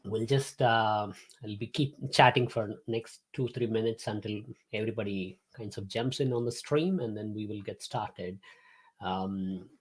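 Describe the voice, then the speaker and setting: A man's voice: fairly low pitch, a little slow, breathy edge. A man, hosting a live-streamed class from his home office, chatting to viewers with many fillers and restarts. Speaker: host and instructor.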